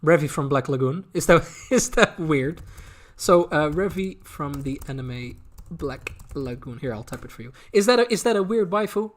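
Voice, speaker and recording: A man with a Dutch accent chatting casually as a streamer, answering viewer questions in a halting way, often repeating words as they think aloud. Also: fairly high pitch, expressive. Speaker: streamer, anime content creator.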